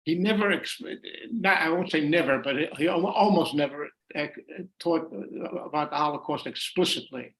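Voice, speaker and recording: A man's voice: a little high, normal speed, hardly any pauses, fairly bright tone, poor audio. An older man, an author being interviewed on a video call, answering thoughtfully with many restarts and repeated words. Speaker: author and former journalist, guest. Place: home room.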